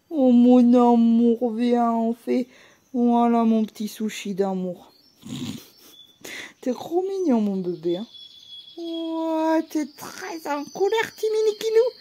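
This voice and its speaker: A woman's voice: on the high side, warm tone. A woman cooing baby talk to her pets at home in a sing-song voice, full of nonsense words. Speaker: vlogger. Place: at home, indoors.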